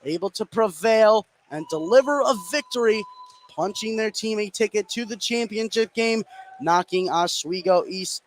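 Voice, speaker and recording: A man doing live play-by-play basketball commentary for radio from a high school gym. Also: normal speed, slight background noise. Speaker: play-by-play sports announcer.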